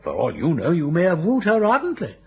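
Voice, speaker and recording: Breezy upper-class English brother, older: swooping, higher-pitched, gravelly edge, teasing wit for radio comedy. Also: male voice, huge pitch swings, a little fast, darkish tone, heavily acted. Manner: urbane, wry, chatty and theatrical. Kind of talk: British radio comedy drama acting.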